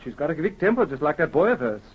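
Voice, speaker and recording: An actor playing a kindly, nosy small-town grocer in a 1930s radio drama, talkative, folksy and hesitant. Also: male voice, fairly high pitch, very animated, quick pace, slightly rough. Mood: gossipy, sympathetic. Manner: hesitant, folksy, repeats himself. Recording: dull, muffled 1930s radio recording.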